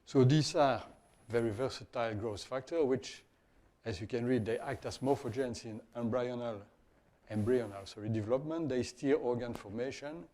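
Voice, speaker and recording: A male professor from Belgium, a native French speaker with a Belgian French accent, giving a scientific lecture in halting, deliberate phrases with self-corrections. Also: mid-range voice, dark tone. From Wallonia, Belgium.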